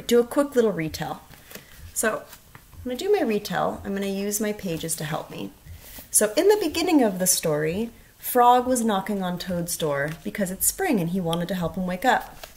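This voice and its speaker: A woman teacher reading a storybook aloud to children, expressive and instructional, asking questions as she goes. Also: medium pitch, normal speed, creaky. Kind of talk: storybook read-aloud with questions to young listeners.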